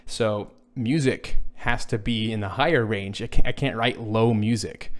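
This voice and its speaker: A man in his thirties with a low, deep-set voice talks through viewer questions on a boom microphone in a small home studio. He thinks aloud as he goes, stopping to restart phrases and filling gaps with ums. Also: measured pace. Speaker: music composer answering viewer questions. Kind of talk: casual livestream Q&A. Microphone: boom studio microphone, headphones on.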